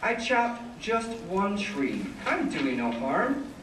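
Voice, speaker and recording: Secretive old hermit played by a man, slightly high voice, theatrical boastful rhymed storytelling, distant roomy recording. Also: big pitch swings, takes it slowly, fairly bright tone, full character voice. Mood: boastful, dismissive. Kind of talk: readers theater verse performance. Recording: roomy, distant, faint noise.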